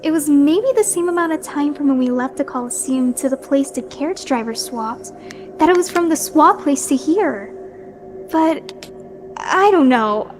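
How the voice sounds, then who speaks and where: A woman voicing a timid, unsure young pony filly in a horror audio drama, soft and hesitant. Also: high-pitched, normal speed, music in the background, natural voice. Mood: hesitant, puzzled.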